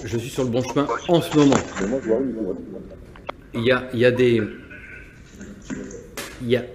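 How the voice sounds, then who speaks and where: Brief: male company owner from Nantes, halting and reflective, mid-pitched. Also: fairly even intonation, background noise. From France, Nantes. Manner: hesitant, many restarts and repeated words. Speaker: business owner. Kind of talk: interview answers, personal reflection.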